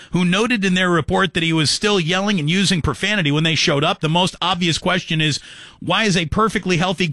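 A man's voice: a little high, pushed and bright, no echo. A man hosting sports talk radio, commenting in a mocking, incredulous, jokey manner. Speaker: radio talk show host.

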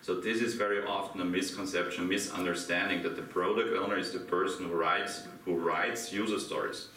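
A middle-aged Austrian man with a German accent presents at a conference, putting questions to a small audience. His low voice has a bright edge. It was picked up from a distance in an echoing room. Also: flat delivery, brisk. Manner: asks the audience questions, laughs lightly. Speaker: conference speaker. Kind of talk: interactive conference talk. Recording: echoey room from far off, faint hiss.

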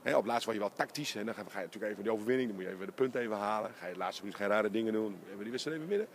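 Gravelly-voiced Dutch basketball coach, fast talker. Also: male voice, on the low side, a little hiss or hum. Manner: animated, emphatic, talks in quick bursts. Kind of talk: post-game interview. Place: empty indoor basketball arena. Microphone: handheld interviewer mic held close.

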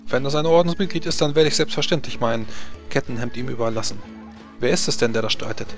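An evasive, formal noblewoman knight in a tabletop fantasy game, acted out by a man. The voice sits at a middling male pitch, with music behind. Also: fairly even intonation, fast, lightly acted. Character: evasive noblewoman knight, politely excusing herself.

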